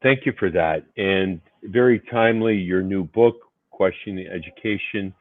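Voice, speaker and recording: A man's voice: deep voice, moderate pace, no room sound. A man hosting a formal interview series, reading introductions and putting questions to a guest. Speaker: interview host, organization CEO. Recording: muffled phone-like audio.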